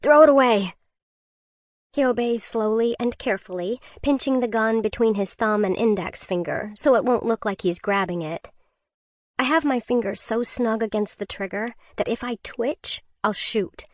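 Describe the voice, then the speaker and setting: A woman narrating a first-person survival thriller audiobook in a tense, measured way. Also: fairly high pitch, dry recording, clean studio sound.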